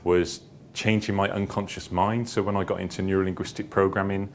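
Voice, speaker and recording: A man's voice: flat delivery, a little fast. A man giving an informal seminar talk, hesitant and repetitive as he circles back through his phrases. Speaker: seminar speaker, publisher and founder. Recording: clear background noise with low rumble.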